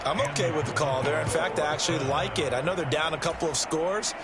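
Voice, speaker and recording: A man's voice: a little high, fast, heavy background noise, natural voice. A man with an American accent giving explanatory, opinionated color commentary on American football in a video game.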